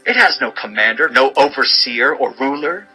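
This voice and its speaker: A man's voice: mid-range voice, lively intonation, moderate pace, pushed and bright, slightly rough, faint music behind, poor audio. A man giving a solemn, fatherly dramatized reading of scripture as narrator, instructing as he goes.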